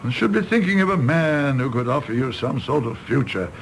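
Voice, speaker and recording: Wry older doctor, creaky-voiced. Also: male voice, medium pitch, animated, a little fast, slight background noise. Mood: flustered, gentle. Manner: wry, theatrical, hesitant. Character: self-deprecating, hard-drinking older doctor, wry and kindly. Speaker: actor. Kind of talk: British radio drama acting.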